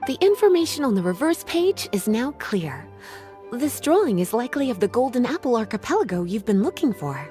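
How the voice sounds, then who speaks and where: A woman playing a poised, teasing, scholarly young librarian in a video game, coy, measured and articulate. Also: fairly high pitch, very animated, warm tone. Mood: thoughtful. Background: music.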